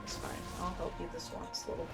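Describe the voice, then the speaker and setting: A woman's voice: brisk, over music. A woman giving casual, informal gameplay commentary, musing aloud and reading bits of quest text. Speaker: game streamer. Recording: faint background noise and game ambience.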